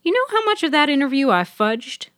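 A woman voicing a lively young female student who grumbles and teases, in a wry, expressive way for an audio story. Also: high voice, fairly quick, dry recording, clean studio sound, voice pushed up. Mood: excited, wry.

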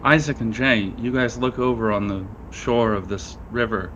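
A man's voice: fairly low pitch, normal speed, a bit bright, lo-fi sound, slight background noise. A man running a tabletop role-playing game, improvising narration in a casual, conversational way and reacting to his players. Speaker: game master.

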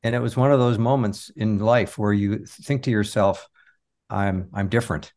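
A man's voice: on the low side, steady tone, normal speed, a little mellow. A man being interviewed as a podcast guest, telling stories casually in an earnest, reflective way.

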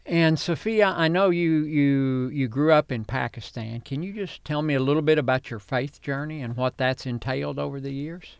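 A man, a reverend, hosting an interview show and introducing his guest with a slightly halting manner. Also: on the high side, gravelly, smooth, no room sound. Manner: warm, slightly halting, repeats words. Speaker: host, reverend.

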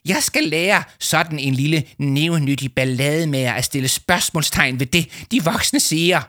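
A man voicing a pompous, furious middle-aged male official who shouts and rants in a shrill voice. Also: sing-song, full character voice. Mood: raging. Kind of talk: audiobook character voice.

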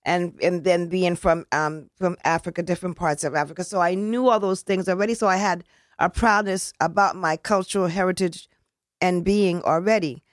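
A woman's voice: fairly low pitch, fairly even intonation, moderate pace, dry recording. Earnest rambling woman, dry close sound. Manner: rambling and earnest, with frequent restarts and casual slang. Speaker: community event organizer. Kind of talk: casual interview talk.

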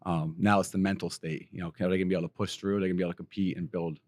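American male coach, middle-aged, lowish raspy strained voice, fast conversational delivery, dry close sound. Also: flat delivery, darkish tone, dry recording. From Pennsylvania, United States. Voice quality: slightly raspy. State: voice strained from talking over a group. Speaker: college basketball coach, interview guest. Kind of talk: conversational interview answers.

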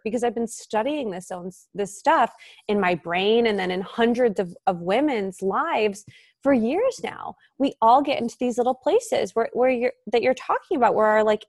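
A woman's voice: fairly high pitch, dry recording, clean studio sound. Chatty, reflective woman guest. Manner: reflective, many "like" fillers, upbeat. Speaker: interview guest, author. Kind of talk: casual podcast conversation.

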